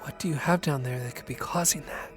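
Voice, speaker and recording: A man's voice: fairly high pitch, brisk, close to an everyday voice. Male actor as anxious young man, questioning, casual talk, audio drama, music underneath. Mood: hushed unease. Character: uneasy young man, Greg, unsettled by strange noises.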